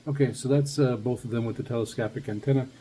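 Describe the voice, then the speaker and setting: Guy doing a casual gear demo, mellow voice, kinda halting, bit of background noise. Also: medium pitch, fairly even intonation. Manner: halting, thinking aloud while handling gear. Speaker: radio hobbyist host. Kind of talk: casual hands-on demonstration.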